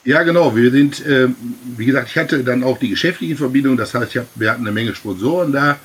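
A man's voice: mid-range voice, fast talker, a bit bright, husky. A middle-aged man, a rugby club president, telling his story with many fillers in long winding sentences. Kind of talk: casual interview answers.

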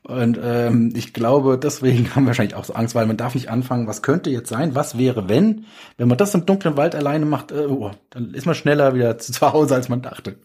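Husky mid-pitched man talking casually. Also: brisk, warm tone. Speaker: thriller author on a panel. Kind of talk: casual discussion.

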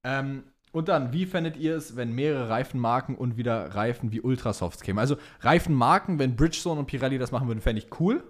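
A man's voice: mid-range voice, quick pace, few pauses. Casual, slangy young man chatting. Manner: colloquial, slangy, enthusiastic. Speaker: podcast co-host. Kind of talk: casual fan chat about motorsport.

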